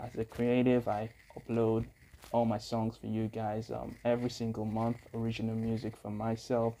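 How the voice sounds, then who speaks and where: A man's voice: medium pitch, dark tone. Chatty young man reacting to music. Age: young adult. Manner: upbeat, chatty, many fillers. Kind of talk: casual reaction commentary. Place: small room indoors. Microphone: earphone mic, phone camera close up.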